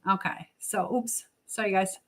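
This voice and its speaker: A woman's voice: medium pitch, steady tone, measured pace, a bit bright. Motherly woman chatting on a live stream. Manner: motherly, advising. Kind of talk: casual live chat, reading viewers' messages aloud.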